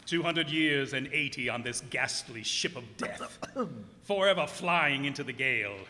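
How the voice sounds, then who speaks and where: A man's voice: mid-range voice, lively intonation, measured pace, bright, forceful tone, a little hiss or hum. A man playing a blasphemous, proud ship's captain under a curse, declaiming in archaic, anguished radio drama style. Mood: weary despair. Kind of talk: old-time radio drama acting. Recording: echoey hall, stage performance.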